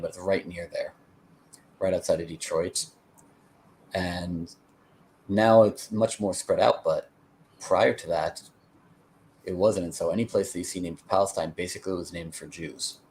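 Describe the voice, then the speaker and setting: Male, American, low register. Interview guest who explains and meanders as he tells his story. Slight background noise. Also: fairly even intonation, measured pace, pauses often, darkish tone. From United States (Connecticut). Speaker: interview guest, peace activist. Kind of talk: conversational storytelling.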